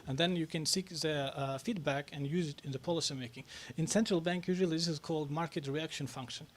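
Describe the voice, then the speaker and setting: A man answering questions on a press club panel, talking haltingly with many fillers and restarts. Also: a little high. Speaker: panel speaker on national reforms.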